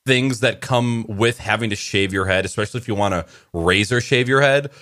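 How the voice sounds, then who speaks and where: A man's voice: lowish voice, a little fast, a bit bright. A man hosting a podcast in casual chat, joking and crude. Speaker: podcast host.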